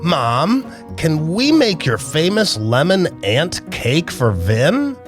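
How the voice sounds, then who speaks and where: A man voicing an excitable, friendly young girl pangolin in a storybook, bright and earnest. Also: on the high side, slow, over music, exaggerated voice. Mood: eager.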